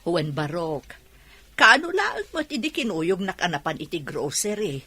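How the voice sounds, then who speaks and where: An actress plays a homely older mother in a radio drama, always reassuring. Her raspy voice is on the low side, piercing and very animated. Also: a little slow, heavily acted. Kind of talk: Ilocano radio drama acting.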